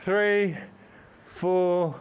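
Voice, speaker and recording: A man's voice: high-pitched, fairly even intonation, very slow, clear, resonant tone. Joking man counting squat reps. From Australia. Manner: talks while doing squats. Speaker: fitness live streamer. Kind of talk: rambling live-stream chat while exercising.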